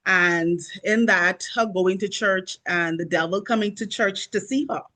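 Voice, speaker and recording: A woman's voice: mid-range voice, a little slow, pushed and bright. A woman from the Bahamas with a Bahamian accent, an author answering questions in casual interview chat.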